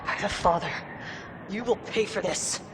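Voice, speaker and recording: A woman's voice: medium pitch, normal speed, noisy background, natural voice. An actress playing a proud, defiant captured warrior woman in a video game, speaking with haughty, formal contempt. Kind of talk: dramatic video game acting.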